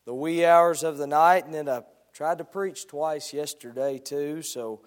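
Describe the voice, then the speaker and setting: Hoarse high-voiced preacher man. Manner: halting opening, then rising preaching cadence. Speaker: guest preacher. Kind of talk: sermon with scripture reading.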